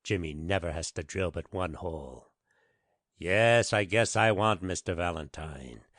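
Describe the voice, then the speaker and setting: An actor playing a shrewd, confident veteran detective with a gruff, assured manner in a dramatic audiobook reading. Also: male voice, sits low, a little slow, a bit bright, no echo, studio quality. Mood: assured, determined.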